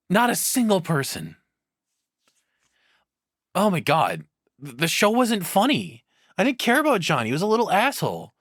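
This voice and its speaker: A man giving casual, off-the-cuff reaction commentary as a streamer, blunt and sweary, halting as he thinks aloud. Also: high voice, fairly bright tone, no echo, studio quality.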